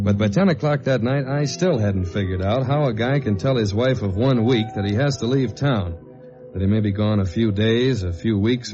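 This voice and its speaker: Low-voiced American investigator played by an actor, muffled recording. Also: male voice, lightly acted. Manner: dry, understated, hard-boiled. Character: tall, dogged government investigator narrating his case journal. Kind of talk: 1950s radio detective drama, first-person narration and dialogue. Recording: muffled old radio recording with music.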